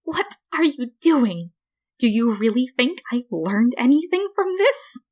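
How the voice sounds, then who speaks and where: A woman's voice: fairly high pitch, sing-song, a little slow, a little mellow, pitched above the performer's own voice. A woman voicing a small, spiteful male flower monster from a storybook reading, who shrieks and sneers. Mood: shrill taunting.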